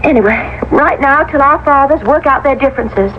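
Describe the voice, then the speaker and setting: A woman's voice: high-pitched, moderate pace, lightly acted. An American woman acting a spirited, outspoken young rancher's daughter in a radio western, defiant in manner. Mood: upset, firm. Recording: muffled, noisy 1970s radio broadcast.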